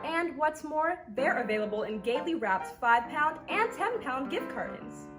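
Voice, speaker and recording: High-pitched woman radio ad announcer. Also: very animated, moderate pace. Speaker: commercial announcer. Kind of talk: old-time radio advert, gushing sales read. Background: music.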